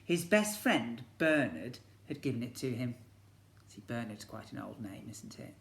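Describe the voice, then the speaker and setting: A man's voice: medium pitch, expressive, measured pace, vocal fry. A man, a teacher reading a children's story expressively and breaking off to ask his young listeners questions. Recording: echoey room.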